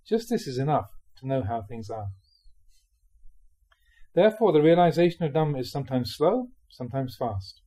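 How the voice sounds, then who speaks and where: A man reading Buddhist teachings aloud like a monk giving a dharma talk, with measured, emphatic phrasing. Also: medium pitch, expressive, mellow.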